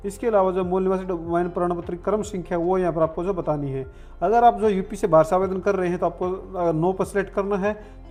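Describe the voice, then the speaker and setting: A man's voice: high-pitched, darkish tone. A man narrating a step-by-step tutorial in an instructional way, with repeated filler phrases. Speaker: online form tutorial presenter.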